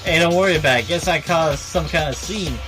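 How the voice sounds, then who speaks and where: A man voicing a manic, hot-blooded young game character, dramatic and shouting with drawn-out words over music. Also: fairly high pitch, close to an everyday voice. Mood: manic defiance. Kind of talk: video game dialogue read aloud.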